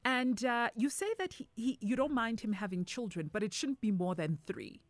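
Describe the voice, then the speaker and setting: A woman's voice: fairly high pitch, expressive, normal speed, no echo. A South African woman hosting a radio dating segment, teasing and mixing English with Zulu. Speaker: radio host. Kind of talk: casual radio chat. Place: radio studio.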